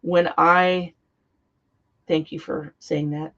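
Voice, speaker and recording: A woman guest, slightly hoarse from a cold, explaining in an earnest, heartfelt way. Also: low-pitched, level tone, takes it slowly, a bit bright. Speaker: guest psychic. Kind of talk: interview conversation. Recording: dull lo-fi sound.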